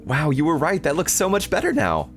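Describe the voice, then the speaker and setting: Visual novel guide: sweet, earnest young girl performed by a man, lifted pitch, gushing and eager. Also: wildly swooping pitch, brisk, soft background music. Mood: delighted. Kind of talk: visual novel character dialogue.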